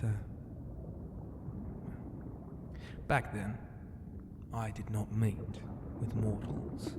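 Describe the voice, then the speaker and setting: A mighty, flirtatious ocean god, played by a man in an ASMR roleplay. The voice is on the low side and very unhurried, teasing and grandiose, often dropping to a breathy whisper. It rings with long reverb over noisy ambience. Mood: amused, flirty.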